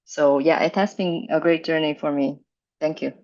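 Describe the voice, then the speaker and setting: A woman from China introducing herself on a podcast, talking haltingly with many restarts and fillers. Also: sits low, steady tone, a little mellow, dull audio. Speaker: community co-founder.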